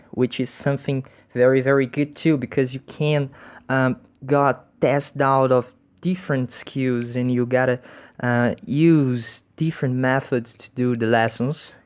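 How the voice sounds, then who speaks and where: Slow-talking man. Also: mid-range voice, a little mellow, clear, resonant tone. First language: Portuguese. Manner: hesitant, many restarts and repeated words. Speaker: language learner sharing tips. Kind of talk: casual talk to camera. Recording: dull lo-fi recording.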